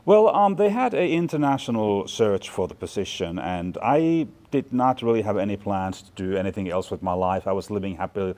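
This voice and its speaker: Finnish-raised man, lowish easy voice. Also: expressive, moderate pace, few pauses, darkish tone. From Finland. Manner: thoughtful, chatty, many fillers and restarts. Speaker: guest, fashion department chair. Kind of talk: relaxed interview answers. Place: large bright studio loft indoors.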